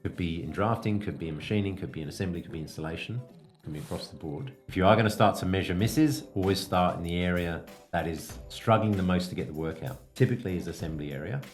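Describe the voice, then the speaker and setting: A man's voice: sits low, fast. A man giving an informal, explanatory coaching talk as a podcast host, plain-spoken with hesitant phrasing and casual asides. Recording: music behind the voice.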